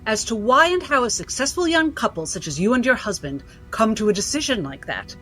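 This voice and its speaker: A woman's voice: on the high side, very animated, a little fast, a bit bright, faint music behind. A woman playing a coldly probing interrogator in a 1960s-set drama, formal, pointed and pressing. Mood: accusing. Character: relentless, coldly probing female interrogator questioning a doctor. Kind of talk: 1960s cinematic drama acting.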